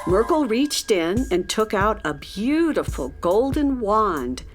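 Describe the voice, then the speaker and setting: Woman, children's story narrator, lively intonation, measured pace, background music. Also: mid-range voice. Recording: music playing behind.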